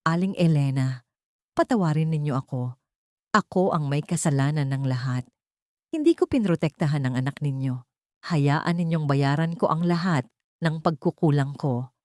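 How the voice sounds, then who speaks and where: Humbled wealthy Filipino patriarch, read by a woman, low register, solemn, pleading, audiobook drama. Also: expressive, normal speed, darkish tone, no echo, studio quality. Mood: remorseful, pleading. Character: remorseful wealthy older man, humbled and earnest.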